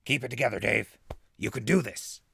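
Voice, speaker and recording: A man playing an excitable, jittery wasteland survivor who records a rambling, dramatic radio diary in an audio drama, with a gravelly voice. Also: mid-range voice, dry recording, full character voice. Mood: upbeat. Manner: rambling, dramatic, with hesitant pauses.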